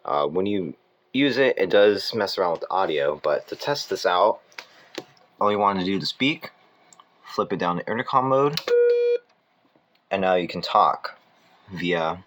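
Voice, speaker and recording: A man casually presenting equipment as a hobbyist, in an informal, halting manner, correcting himself as he goes. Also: low voice, expressive. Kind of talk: casual hands-on demonstration. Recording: clear background noise.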